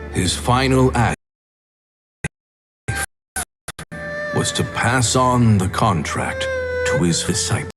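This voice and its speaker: A man playing a solemn, weary doctor who tells a legend gravely and slowly in a fantasy game. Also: fairly low pitch. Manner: slow, grave, measured storytelling with long pauses. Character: solemn, weary male doctor recounting a legendary healing contract. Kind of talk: dramatic story narration in a fantasy game.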